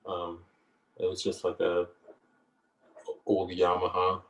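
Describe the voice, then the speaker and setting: A man's voice: monotone, slow, a bit bright, echoey room, distant mic, poor audio. A man who is a singer-songwriter, talking in a hesitant, laid-back way with frequent laughs during a video-call interview. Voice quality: low voice. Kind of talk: relaxed conversational interview.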